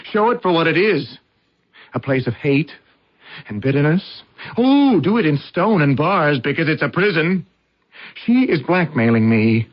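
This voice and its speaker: An actor in a radio drama playing a trapped husband who pleads in an agonized, halting way. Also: male voice, fairly high pitch, big pitch swings. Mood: bitter, confessing. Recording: dull vintage radio drama recording.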